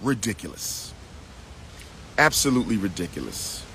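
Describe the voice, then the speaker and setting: A man's voice: on the low side, very animated, normal speed, vocal fry, noisy background. A middle-aged man giving an impassioned, preachy monologue into a phone held at arm's length in an outdoor park. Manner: preachy, emphatic, repetitive, rising to declamation. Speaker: lecturer addressing followers. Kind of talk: impassioned selfie video monologue.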